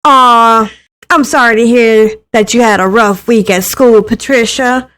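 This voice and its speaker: A woman's voice: on the high side, measured pace, a bit bright, smooth. A woman voicing a friendly, caring young female livestreamer who chats sympathetically with viewers in a story reading. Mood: caring, upbeat.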